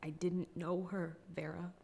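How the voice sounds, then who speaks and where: A woman's voice: on the low side, little pitch movement, a little slow, creaky, mellow, breathy edge, heavily acted. A woman performing a dramatic stage monologue as a grieving, regretful older sister, blunt and defensive.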